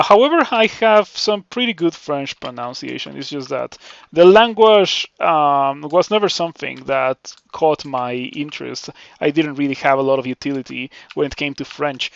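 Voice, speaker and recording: Game streamer, male, Mexican Spanish accent, raspy low-fi sound, thinking-aloud delivery. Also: no room sound, dull audio. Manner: measured, filler-heavy thinking aloud. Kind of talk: live gameplay commentary.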